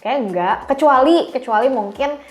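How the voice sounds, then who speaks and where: A woman's voice: high voice, animated, moderate pace. A woman with an Indonesian accent hosting a casual interview, informal and responsive, recapping and asking follow-up questions. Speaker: podcast host. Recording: roomy, echoing voice.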